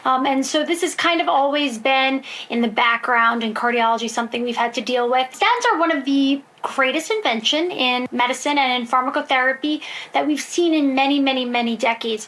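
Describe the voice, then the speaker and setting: A woman's voice: high voice, a bit bright. An American woman, a cardiologist, explaining medicine fluently in an interview, with frequent ums. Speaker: cardiologist guest. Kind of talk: expert interview answers.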